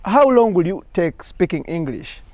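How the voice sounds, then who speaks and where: A man's voice: sits high, big pitch swings, moderate pace, a little mellow, no echo. A young man with a Ugandan accent, hosting an interview outdoors and asking playful, teasing questions in Luganda and English. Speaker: TV host, interviewer. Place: outdoors in a leafy garden.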